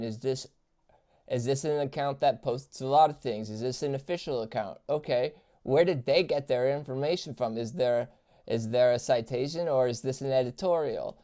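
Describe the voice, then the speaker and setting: Man reacting to a video, halting. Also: medium pitch, mellow. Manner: halting, many restarts and fillers, talking to chat. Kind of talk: casual reaction commentary.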